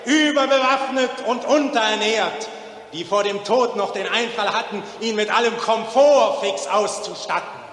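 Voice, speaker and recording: An actor playing a stern cosmic voice passing doom on mankind in solemn, declaimed verse, in a 1940s radio drama. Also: male voice, high-pitched, a bit bright. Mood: indignant, accusing. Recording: echoey, reverberant 1940s radio recording.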